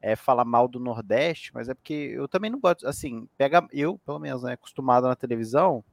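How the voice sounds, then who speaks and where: A man hosting a podcast who talks in a relaxed, slangy way with loose restarts. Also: mid-range voice, fast, gravelly, dry recording. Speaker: podcast host. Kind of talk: casual podcast chat.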